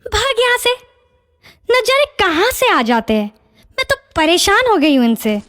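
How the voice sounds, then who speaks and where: A woman voicing a poor village wife in a cartoon story, quick to scold and worry. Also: very high-pitched, very animated, a bit bright, full character voice. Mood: annoyed.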